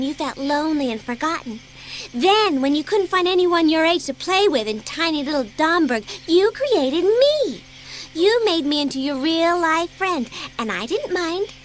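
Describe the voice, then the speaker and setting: A woman's voice: very high voice, fairly bright tone, slight background noise, exaggerated voice. A woman playing a menacing, gleeful imaginary-friend girl in a dramatised audiobook, sly and taunting. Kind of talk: dramatised audiobook villain acting. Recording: music behind the voice.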